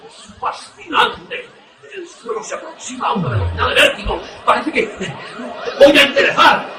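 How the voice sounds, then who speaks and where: A man playing a reckless, excitable fighter pilot commander in a suspense radio drama, speaking in breathless, intense exclamations. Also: extremely high pitch, normal speed, full character voice. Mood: reckless, enthralled. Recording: distant, echoey, noisy cockpit-like sound.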